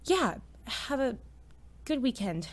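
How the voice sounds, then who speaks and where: A woman's voice: high voice, very animated, takes it slowly, background noise, heavily acted. A woman playing an anxious, self-doubting teenage student in an audio drama, with a hesitant, awkward, wry manner. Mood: flustered. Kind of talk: audio drama acting with first-person narration.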